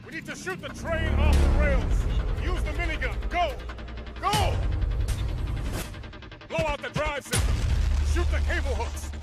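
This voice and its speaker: An actor playing a forceful, frantic squad officer in a game, barking urgent combat orders. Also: male voice, very high-pitched, a little slow, long pauses, over loud music. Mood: urgent, commanding.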